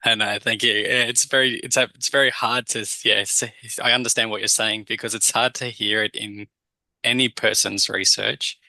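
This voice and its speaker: A man's voice: on the low side, steady tone, moderate pace, gravelly, sharp tone, no echo. A man asking a question at an online conference, hesitant and searching for his words. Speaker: audience member asking a question. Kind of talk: conference Q&A on a video call. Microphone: video call.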